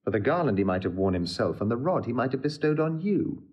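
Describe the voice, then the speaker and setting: An actor playing a witty, proud bachelor lord in a theatrical, blustering Shakespearean style. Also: male voice, medium pitch, sing-song, fast, highs cut off, natural voice. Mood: teasing. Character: witty wounded-proud young bachelor lord, railing at a lady. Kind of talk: classical Shakespeare stage acting.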